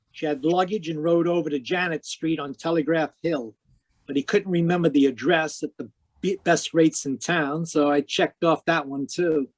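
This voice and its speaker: A man's voice: fairly high pitch, natural voice. A man playing a tough, wisecracking private investigator in a 1940s-style radio noir, terse, cynical and slangy. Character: tough, wisecracking hardboiled private investigator narrating his case.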